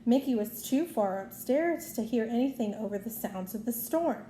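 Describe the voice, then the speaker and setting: A woman's voice: on the high side, expressive, moderate pace, warm tone. A woman reading a children's story aloud as narrator. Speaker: storybook reader. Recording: roomy echo, faint background noise.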